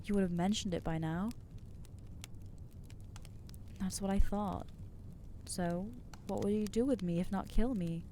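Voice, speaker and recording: A woman's voice: medium pitch, moderate pace, warm tone, a little hiss or hum, natural voice. A woman voicing an anxious, self-loathing young female vampire in an ASMR audio roleplay, talking hesitantly and putting herself down. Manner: hesitant, self-deprecating, trailing off.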